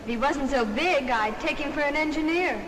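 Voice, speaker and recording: A man's voice: very high-pitched, normal speed. A young male actor playing a brash, wisecracking small-town American schoolboy in a 1930s film. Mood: impressed, joking. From Indiana, USA. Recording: faintly noisy 1930s film soundtrack.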